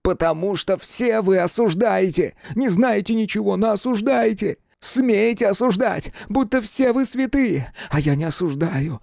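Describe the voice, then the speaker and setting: A man's voice: sits high, gravelly, a little mellow, no echo, studio quality, voice pushed up. A man voicing an anguished, bitter hunchbacked male teacher in an audiobook, fervent and defiant, with breathless indignation. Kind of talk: dramatic audiobook character reading.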